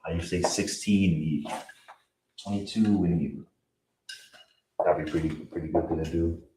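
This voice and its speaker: A man teaching a live-streamed online language class in a relaxed way, often checking in with "you understand?" and "all right?". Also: sits low, moderate pace. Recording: roomy, distant, faint hiss.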